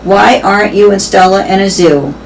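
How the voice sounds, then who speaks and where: A woman's voice: medium pitch, normal speed, slight background noise, natural voice, low-quality recording. A woman voicing a curious, street-smart little dog. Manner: questioning, inquisitive.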